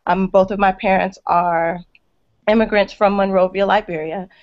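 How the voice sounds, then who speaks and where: A woman's voice: mid-range voice, fairly even intonation, moderate pace. A woman school leader from Brooklyn, New York, speaking passionately and emphatically in a panel chat. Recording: dull lo-fi sound.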